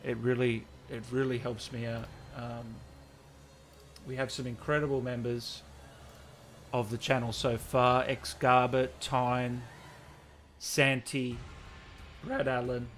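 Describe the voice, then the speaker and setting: A man hosts a casual live stream in a mid-pitched voice. He reads out comments and thanks viewers in an enthusiastic, informal, matey manner. There is some faint ambient noise behind him. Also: little pitch movement, slow pace, pauses often, a bit bright.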